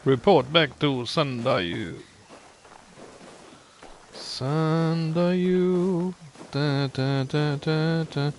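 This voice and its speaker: Slow-talking man commenting on a game. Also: on the high side, darkish tone, slight background noise. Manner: muttering, wry, thinking aloud. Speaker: gaming video host. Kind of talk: casual gameplay commentary.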